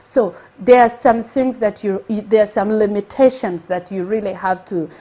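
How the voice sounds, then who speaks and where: A Ugandan woman with a middle-pitched voice hosts a motivational live stream. She talks with lively energy, exclaims often and repeats key phrases to drive them home. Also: moderate pace.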